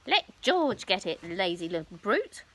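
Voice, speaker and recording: A woman voicing an enormous, bad-tempered old grandmother who shouts and scolds. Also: high-pitched, huge pitch swings, unhurried, pitched above the performer's own voice, exaggerated voice. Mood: indignant. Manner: shouting, scolding, self-pitying.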